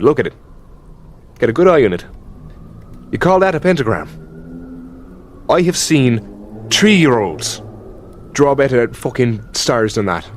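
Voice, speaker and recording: A man with an Irish accent playing an exasperated, mocking, possessive elder demon boyfriend in an ASMR roleplay, sardonic and sweary in a halting, conversational way. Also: medium pitch. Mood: exasperated, scornful.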